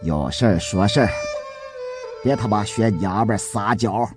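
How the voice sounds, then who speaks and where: A man voicing a jaded, scornful gangster boss with a gruff, dismissive manner in an audiobook drama. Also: on the low side, moderate pace, music in the background, lightly acted. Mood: gruff contempt. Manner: sneering, dismissive, coarse slang. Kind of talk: dramatised audiobook character acting.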